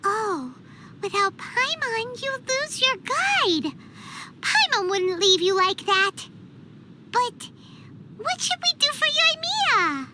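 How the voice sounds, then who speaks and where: Tiny bossy fairy sidekick for a game: squeaky, very high, unhurried delivery, loud noise behind. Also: female voice, sing-song, full character voice. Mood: earnest. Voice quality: squeaky, childlike. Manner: exclamatory, refers to self in third person. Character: tiny excitable childlike fairy companion, cute and bossy. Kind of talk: video game character acting.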